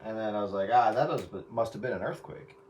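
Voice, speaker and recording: A man's voice: on the low side, quick pace, darkish tone. An American man in casual, wryly joking chat over a CB radio. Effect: radio transmission. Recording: distant, very noisy radio signal.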